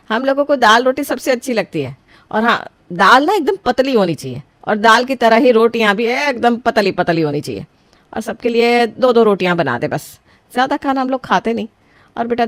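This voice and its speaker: A woman's voice: fairly high pitch, dry recording, lightly acted. A woman voicing a strict, frugal middle-aged mother-in-law who scolds and bosses her new daughter-in-law, in a dry close recording. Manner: scolding, bossy, calls everyone beta. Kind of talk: scripted family story voicing.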